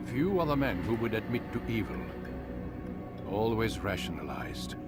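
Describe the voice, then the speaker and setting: An actor playing an older, grave leader who speaks in a measured, instructive way, over a loud game score. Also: male voice, lowish voice, big pitch swings, over loud music.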